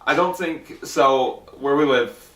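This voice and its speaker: A man's voice: mid-range voice, slow pace, a bit bright, roomy sound, distant mic, poor audio. A young man in casual podcast chat, rambling and swearing freely. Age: young adult. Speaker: podcast guest.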